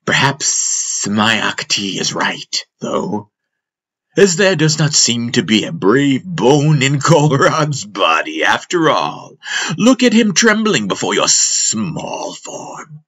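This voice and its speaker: A man voicing a sly, taunting female snake with a slow, drawn-out, menacing sneer, in a fantasy audiobook. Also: medium pitch, very animated, a bit bright, voice pushed up, heavily acted. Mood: mocking.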